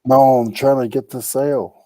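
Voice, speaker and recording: A man's voice: mid-range voice, fairly even intonation, moderate pace, mellow, no room sound. A man from New England co-hosting a casual podcast hangout, chatty and jokey, often trailing off mid-sentence. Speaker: podcast co-host. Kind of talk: casual chat while listing items.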